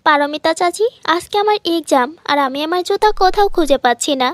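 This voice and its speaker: Sweet high-pitched schoolgirl played by a woman. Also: lively intonation, fairly quick, no echo. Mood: anxious pleading. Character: dutiful, affectionate schoolgirl niece.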